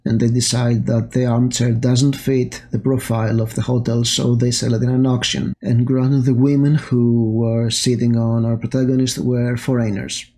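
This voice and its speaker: A man's voice: medium pitch, little pitch movement, moderate pace, few pauses, mellow. A man hosting a casual horror book review, retelling the story in a chatty way with many fillers. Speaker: host.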